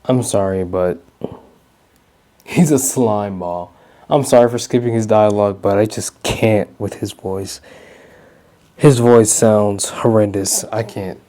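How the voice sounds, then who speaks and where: A man's voice: on the low side, takes it slowly, pauses often, dark tone. A young man giving casual gameplay commentary in a slangy, blunt, offhand way. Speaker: gaming YouTuber.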